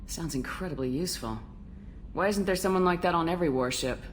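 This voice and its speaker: A woman's voice: on the low side, quick pace, natural voice. A woman playing a serious, direct military starship commander in a video game, blunt and businesslike. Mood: serious, inquiring. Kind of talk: scripted video game dialogue. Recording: clear background noise, ship ambience.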